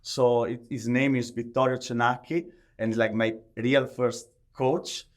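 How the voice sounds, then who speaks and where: A man with an Italian accent answering interview questions conversationally in halting, non-native English, often leaning on the word "like". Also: medium pitch, flat delivery. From Italy. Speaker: podcast guest, professional athlete.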